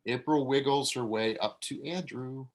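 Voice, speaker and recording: A man reading stage directions aloud at a table read. He talks at an easy middle pitch and stops now and then to give notes. His delivery is relaxed and unpolished. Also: normal speed. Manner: relaxed, casual, with fillers and self-corrections.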